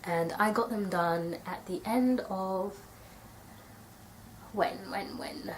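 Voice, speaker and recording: A young woman from the UK chatting casually to camera in a tag video, her nose stuffy from a cold and her voice a little raspy. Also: mid-range voice, takes it slowly, a little hiss or hum, poor audio. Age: young adult. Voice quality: slightly raspy. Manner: chatty, thoughtful, rambling with fillers. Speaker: vlogger.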